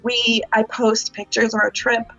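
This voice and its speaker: A woman's voice: fairly high pitch, little pitch movement, measured pace, gravelly, sharp tone, soft background music. Chatty woman food blogger. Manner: chatty, detailed explainer. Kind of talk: casual interview chat. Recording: dull lo-fi recording.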